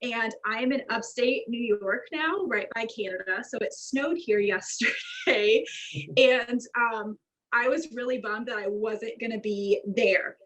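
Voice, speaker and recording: A woman and former agency staff member, chatting in an upbeat, excited way on a video call. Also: fairly high pitch, unhurried, rough recording. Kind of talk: casual chat.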